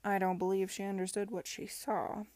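A woman voicing a bitter, defensive young gentleman in an audiobook, confessing in a halting, grudging way. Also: medium pitch, flat delivery, no room sound, close to an everyday voice. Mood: bitter, hurried. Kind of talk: audiobook character dialogue.

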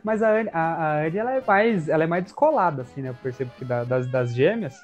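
A man's voice: on the high side, lively intonation, brisk, soft background music. A man hosting a casual podcast interview, talking in a loose, hesitant way with many restarts. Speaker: podcast host.

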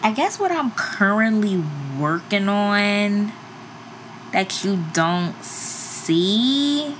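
A young woman in her twenties, a guest on a casual podcast, talking in an animated way. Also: medium pitch, rough recording. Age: 20s. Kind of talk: casual chat.

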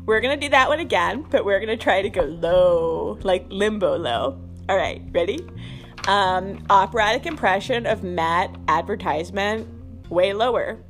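A woman's voice: mid-range voice, moderate pace, faint music behind. A woman hosting a solo podcast in a casual, rambling stream of consciousness, playful and self-deprecating. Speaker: podcast host.

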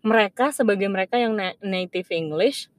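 A young woman chatting easily on a podcast, dropping English words into relaxed slang. Her voice sits mid-pitch and sounds dry and close, with no room around it. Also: moderate pace, no room sound. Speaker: podcast co-host. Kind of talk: casual chat.

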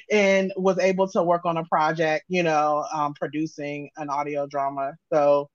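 A woman's voice: low-pitched, a little slow, lo-fi sound, slight background noise. A woman with an American accent chatting casually as an interview guest, talking informally in loose, run-on thoughts. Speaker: guest, audio drama creator and sound designer.

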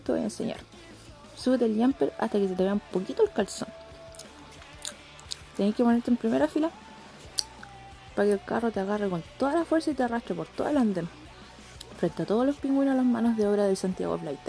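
A Chilean woman acting a brash, cynical teenage schoolgirl who gives slangy instructions in a radio play, with a creaky voice. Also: on the high side, expressive, brisk, pauses often, dark tone, soft background music. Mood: cynical, bossy. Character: brash teenage schoolgirl coaching a dramatic suicide.

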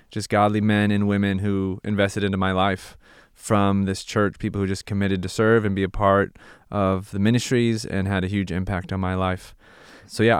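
A man in his thirties from California, a missionary telling his story in a relaxed podcast conversation. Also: lowish voice, smooth, dry recording, clean studio sound. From California, USA. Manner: earnest, reflective storytelling. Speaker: missionary, ministry partner.

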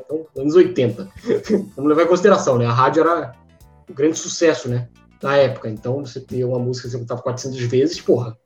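A man with a Brazilian Portuguese accent, co-hosting a casual chat and sharing trivia with lots of fillers. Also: fairly high pitch. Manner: chatty, shares trivia with frequent fillers. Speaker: podcast co-host. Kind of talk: casual chat about cartoons. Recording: roomy, muffled mic.

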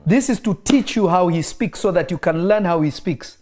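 A man who grew up in Kenya, preaching in a relaxed interview and driving his points home by repeating key phrases. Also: high-pitched, moderate pace. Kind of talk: conversational preaching.

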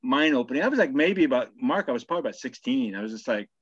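A man's voice: mid-range voice, big pitch swings, very fast, slightly rough, no room sound. Laid-back older man on a dull line. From England. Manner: laid-back, crude, laughs easily. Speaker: guest musician. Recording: dull, dry, lo-fi sound.

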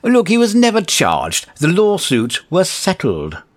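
A man's voice: high-pitched, huge pitch swings, a little slow, exaggerated voice. A man playing an earnest, officious and exasperated agency chief in a comedic audio drama. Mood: defensive. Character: exasperated, earnest male agency chief briefing misfit heroes.

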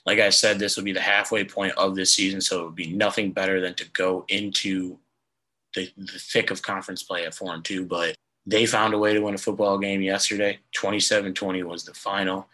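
A young man hosting a casual sports podcast, hoarse from losing his voice, rambling with lots of fillers. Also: low voice, little pitch movement, a little fast, pushed and bright, rough recording. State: hoarse, lost voice. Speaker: podcast host.

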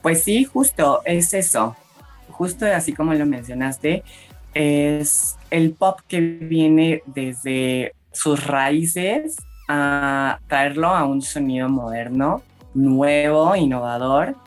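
A young adult singer from Mexico City with a Mexican accent, answering questions in a relaxed, hesitant way with frequent fillers. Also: mid-range voice, soft background music. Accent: Mexican Spanish. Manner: slow and relaxed, laughs often, hesitant fillers. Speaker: singer being interviewed.

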